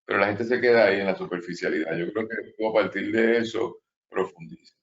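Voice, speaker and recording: Male host, low voice, rambling intro, lo-fi audio. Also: brisk, creaky. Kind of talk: interview introduction.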